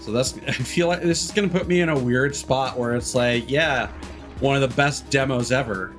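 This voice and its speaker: A man's voice: medium pitch, moderate pace, fairly bright tone. A man doing casual live game commentary, rambling candidly and swearing offhandedly. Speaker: game streamer. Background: game music.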